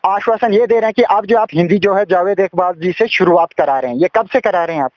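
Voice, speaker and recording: A man's voice: high-pitched, quick pace, no echo, dull audio. A man working as a news reporter, asking insistent, repeated questions. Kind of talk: phone interview, pressing questions and reporting.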